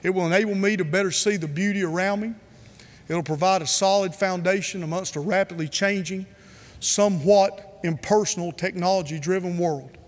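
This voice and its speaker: A man from North Carolina, giving an earnest, measured prepared speech with emphatic repetition. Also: sits high, big-room sound. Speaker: speaker.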